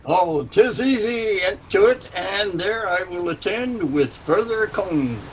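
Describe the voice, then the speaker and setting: A man's voice: high voice, expressive, slow pace, slight background noise. An amateur actor reading a soldier who follows his general in a Shakespeare play. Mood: earnest. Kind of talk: amateur Shakespeare read-aloud. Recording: video call with faint music.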